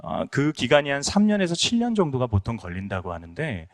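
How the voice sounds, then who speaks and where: Earnest pastor, lively pitch. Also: male voice, brisk. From Incheon, South Korea. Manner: earnest, storytelling with many fillers. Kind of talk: conference lecture. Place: conference hall.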